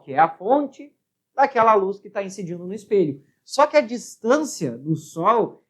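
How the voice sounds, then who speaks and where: A woman with a Brazilian accent working through a physics problem as a teacher, explaining and often checking understanding with short tag questions. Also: medium pitch. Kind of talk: spoken worked-problem lesson.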